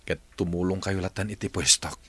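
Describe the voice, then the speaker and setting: A man's voice: low voice, steady tone, a little fast, pushed and bright, slightly rough, full character voice. A man playing an earnest adult son in a dramatized family story, with an Ilocano accent. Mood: pleading. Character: earnest adult son reconciling with long-lost parents. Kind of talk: dramatized Ilocano radio-style story acting.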